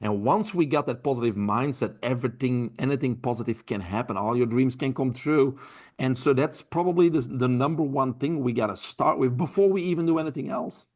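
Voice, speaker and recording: A man's voice: medium pitch, fairly quick, darkish tone. A man with a Belgian accent, a health mentor speaking earnestly as a podcast guest, often repeating words as he thinks. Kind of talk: podcast interview.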